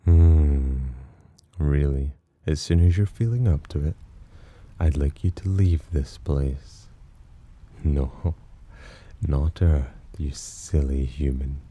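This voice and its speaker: A man playing a sly, possessive demon in an ASMR roleplay, speaking in a smug, teasing, softly commanding manner with a husky voice. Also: slow, pauses often, heavily acted. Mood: teasing, calm. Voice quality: very deep, dark, husky. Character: possessive, amused, sly male demon protector. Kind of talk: ASMR roleplay, slow intimate acting.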